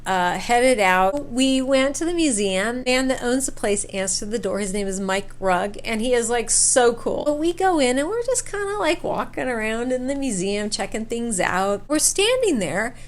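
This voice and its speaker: A woman with a fairly high voice shares a personal story in a casual, chatty style. Her delivery is informal and animated, like someone recounting an experience directly to her viewers. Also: normal speed, hardly any pauses. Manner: animated retelling, acts out quoted dialogue. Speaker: storyteller vlogger.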